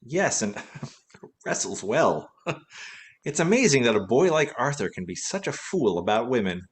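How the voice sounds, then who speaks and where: Man playing a wry, lively radio-play detective. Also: mid-range voice, measured pace. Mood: amused, teasing. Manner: wisecracking, teasing. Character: wry tipsy male former detective, cheerfully cunning. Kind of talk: vintage radio play acting.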